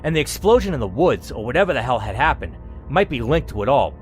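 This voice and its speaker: A man reading an interactive story aloud, adding animated asides as he reacts. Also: mid-range voice, quick pace, soft background music.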